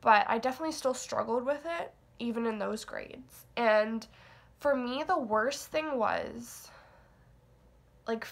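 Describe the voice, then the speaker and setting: Rambling young woman with a gravelly edge. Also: on the high side, measured pace. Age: young adult. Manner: rambling, hesitant, self-deprecating with fillers. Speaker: vlogger. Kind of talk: casual confessional vlog.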